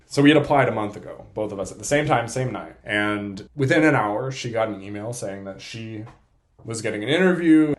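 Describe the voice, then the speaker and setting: A young man chatting casually to camera as a vlogger, playful and self-deprecating in an excitable way. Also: medium pitch, roomy sound. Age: young adult. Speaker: vlogger and college program applicant.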